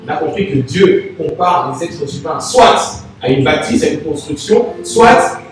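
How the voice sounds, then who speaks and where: A man preaching a sermon as pastor, from Congo, with Lingala as his first language, drawing the congregation into call-and-response and swinging between loud and soft. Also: on the high side, lively intonation, unhurried, crowd noise. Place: large church hall. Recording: echoey church hall from far off, faint noise.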